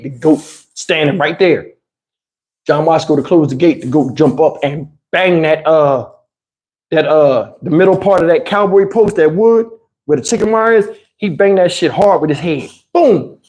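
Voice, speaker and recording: A man telling personal stories in a casual, animated, slangy way, swearing freely and acting out what people said. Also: high voice. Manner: acts out dialogue and sound effects. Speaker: storyteller host. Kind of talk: animated casual personal storytelling with acted quotes.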